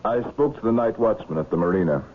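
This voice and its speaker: An actor playing a stern, no-nonsense police inspector in a 1970s radio drama, measured and matter-of-fact. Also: male voice, lowish voice, animated. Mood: stern, accusing. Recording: muffled vintage radio recording with hiss.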